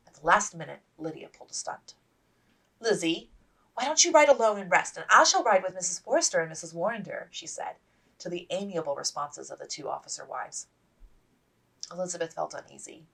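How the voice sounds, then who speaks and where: Woman, author narration, lower pitch, vocal fry, scripted audiobook reading, slightly distant microphone. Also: a bit bright. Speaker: author reading own novel.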